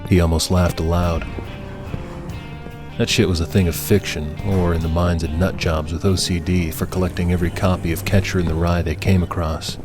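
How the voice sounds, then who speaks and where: Narrator for adult fiction: man with a low register, dramatic scripted read, guitar underscore. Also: flat delivery, moderate pace.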